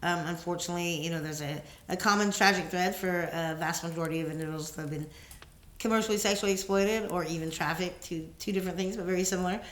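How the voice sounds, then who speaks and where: This is a woman co-host with a somewhat low voice who speaks steadily and articulately. She affirms her partner and tells her own testimony plainly. The room around her sounds echoey. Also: fast. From Houston, Texas. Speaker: podcast co-host. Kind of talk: casual conversation and personal testimony.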